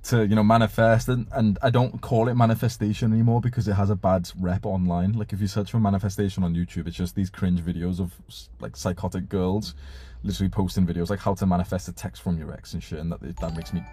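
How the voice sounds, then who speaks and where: A man's voice: low-pitched, fairly even intonation, fast, dark tone, husky, no echo. A young man whose first language is Urdu, hosting a casual live stream and reading out donation messages in a laid-back way, swearing casually.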